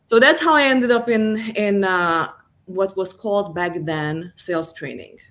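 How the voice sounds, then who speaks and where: A woman's voice: mid-range voice, measured pace. Hungarian-accented woman telling her story. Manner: warm, conversational, many fillers. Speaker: guest, revenue enablement executive. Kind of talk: interview, career story.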